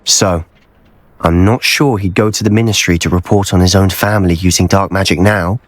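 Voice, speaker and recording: A man voices a teenage wizard student with a fairly low voice, recorded close and dry. He is earnest and secretive, talking in measured, persuasive game-cutscene dialogue. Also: fairly even intonation, no echo, close to an everyday voice. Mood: earnest, determined. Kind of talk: video game cutscene acting.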